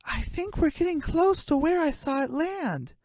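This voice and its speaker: Blunt, gullible chicken acted by an adult performer, raised pitch, comedy radio play sidekick. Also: lively intonation, normal speed, darkish tone, dry recording. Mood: curious.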